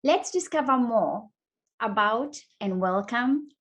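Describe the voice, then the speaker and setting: A woman's voice: fairly high pitch, animated, slow pace, slight background noise. A woman hosting a fireside interview series, welcoming her guest with enthusiastic, effusive praise. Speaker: host. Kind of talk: interview chat.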